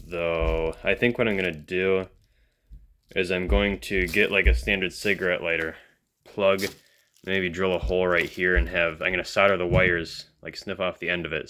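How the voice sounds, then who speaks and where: A man's voice: deep voice, a bit bright. A man giving casual, off-the-cuff hands-on commentary as a hobbyist video host, musing and thinking aloud as he goes.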